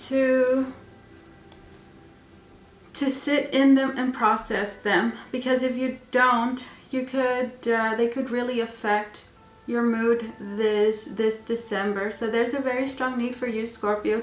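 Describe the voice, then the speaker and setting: A woman's voice: a little high, a bit bright. A woman giving a tarot reading to her viewers while losing her voice, rambling and repeating herself with many fillers. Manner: rambling, repeats words with many ums and uhs. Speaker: tarot reader. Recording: echoey room with faint noise.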